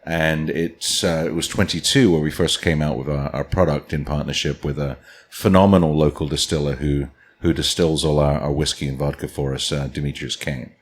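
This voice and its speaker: A man from Scotland, raised near London, talking casually as a guest on a podcast, with a relaxed, rambling way of speaking. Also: very deep voice, moderate pace. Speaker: distillery founder, guest.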